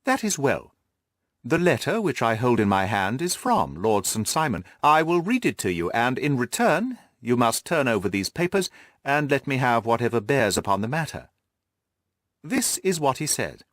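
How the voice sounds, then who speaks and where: Male narrator as confident sleuth, formal diction, animated pitch, audiobook dialogue, dry close sound. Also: moderate pace, dry recording. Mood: brisk, assured. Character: shrewd, brisk, self-assured male detective. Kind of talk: classic detective audiobook dialogue.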